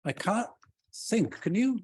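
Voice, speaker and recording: A man's voice: sits high, sing-song, slow, husky. An older man with a Welsh accent, whose first language is Welsh, gives an earnest, emphatic vote of thanks after a lecture. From Wales. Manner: earnest and emphatic, with dry asides. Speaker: lecture respondent giving thanks. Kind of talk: formal public vote of thanks. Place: lecture hall.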